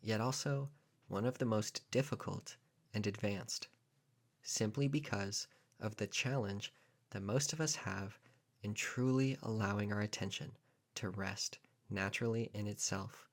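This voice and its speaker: A man narrating a guided meditation as a teacher, in measured, deliberate phrases with pauses. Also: fairly low pitch, fairly even intonation.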